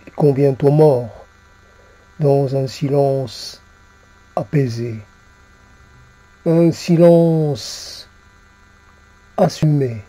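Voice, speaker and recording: A man reciting poetry in a solemn, halting manner, repeating phrases as he goes. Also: mid-range voice, steady tone, mellow, low-quality recording. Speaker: poet reciting own poem.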